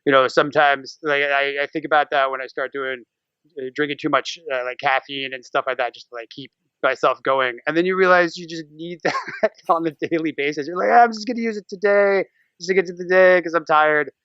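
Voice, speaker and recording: An American man chatting casually as a podcast guest, with an animated, irreverent manner, prone to swearing and acting out little quotes. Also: a little high, brisk, smooth, no room sound, dull audio. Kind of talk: casual interview chat.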